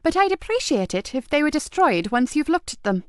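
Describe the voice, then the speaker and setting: A woman's voice: high voice, very animated, a little fast, dry recording, studio quality, pitched above the performer's own voice. A woman voicing a polite, protective middle-aged wife who speaks primly and courteously. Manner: prim and courteous, rising bright tone.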